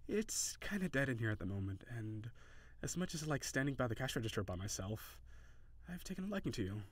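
A man playing a cheery, shy, flirty kitsune barista in an intimate audio roleplay, speaking hesitantly and giggly, with gentle encouragement. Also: medium pitch, sing-song, fairly quick, no echo. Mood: shy, hopeful.

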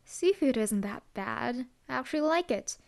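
A woman's voice: on the high side, lively intonation, normal speed, gravelly, a little mellow. A young woman voicing a dry, teasing female hellhound demon bodyguard in an audio roleplay. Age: young adult. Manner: dry, teasing, laid-back.

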